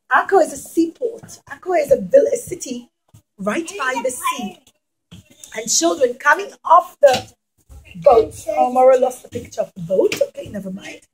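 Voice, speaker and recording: A woman storyteller teaching young children, speaking dramatically with emphatic pauses and questions to her listeners. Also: high voice, rough recording.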